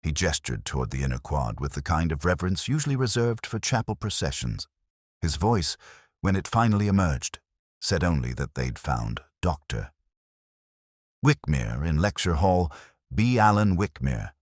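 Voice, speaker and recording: A man playing an ageing, weary police detective, narrating an audio drama in a measured, literary, wry and reflective way. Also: extremely low pitch, sing-song, no room sound, clean studio sound, full character voice.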